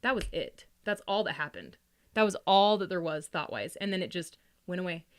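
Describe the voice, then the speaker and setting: A woman in a casual podcast chat, talking in an animated, informal way and often acting out little quotes. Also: fairly low pitch, fairly quick. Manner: animated, informal, frequent laughing asides and mimicked quotes. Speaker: podcast speaker.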